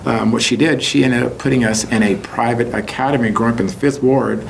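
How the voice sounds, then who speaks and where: Man from Texas, halting, over background noise. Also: mid-range voice, steady tone. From Houston, Texas, United States. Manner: halting, repeats words and phrases for emphasis. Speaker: interview guest, entrepreneur and author. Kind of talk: conversational personal storytelling in an interview. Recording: clearly noisy background ambience.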